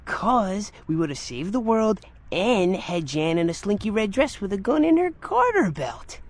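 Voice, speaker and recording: A woman playing a cheeky, excitable young joker in an audio drama. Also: mid-range voice, a little slow. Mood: teasing.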